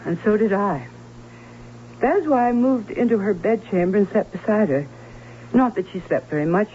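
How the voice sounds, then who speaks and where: An actress playing a fearful, anxious aunt in an old radio drama, speaking in a halting, dramatic way. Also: female voice, medium pitch, animated, natural voice. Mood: grave recounting. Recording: muffled, hissy old radio broadcast.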